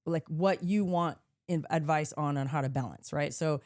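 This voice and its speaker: Lady podcast cohost, deeper voice, chatty, laughs a lot, cusses for fun. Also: moderate pace, darkish tone. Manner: chatty, laughs often, swears playfully; reads out listener messages. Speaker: podcast co-host. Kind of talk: casual chat.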